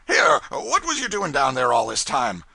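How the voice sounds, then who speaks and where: A man's voice: a little high, big pitch swings, normal speed, creaky, breathy edge, no echo, voice pushed up, heavily acted. A man voicing a bullying, irritable older riverboat pilot who barks and sneers. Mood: shouting, furious. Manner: barking, shouting, sneering.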